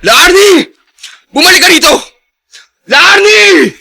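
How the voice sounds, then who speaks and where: A defiant, possessive young Filipino man in a radio drama. His very high voice is forceful and jumps around as he confronts and accuses. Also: expressive, a little slow, gravelly, heavily acted. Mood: jealous, accusing. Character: jealous, defiant young son and possessive boyfriend.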